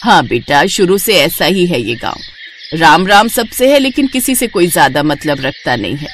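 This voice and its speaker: Lady doing older village mom, bossy but loving, very expressive, cartoon story, bit of noise. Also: on the low side, moderate pace. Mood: chatty.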